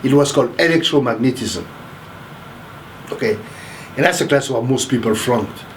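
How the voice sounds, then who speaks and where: Man in his 60s, from Haiti, casual interview, rambling, restarts, mid pitch, faint background noise. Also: lively intonation, normal speed, rough recording. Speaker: interviewee, math professor.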